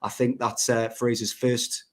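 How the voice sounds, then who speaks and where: A man's voice: medium pitch, flat delivery, unhurried. A man of about thirty, an enthusiastic football fan on a podcast, speaking emphatically with a voice just back after losing it. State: voice recovering after being lost. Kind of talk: casual football match review.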